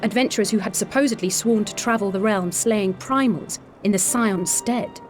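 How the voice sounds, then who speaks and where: A woman's voice: mid-range voice, moderate pace, natural voice. An actress playing a composed, plucky young noblewoman adventurer in a game cutscene, speaking formally and articulately. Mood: earnest, reflective. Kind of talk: dramatic video game cutscene acting. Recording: music under the voice.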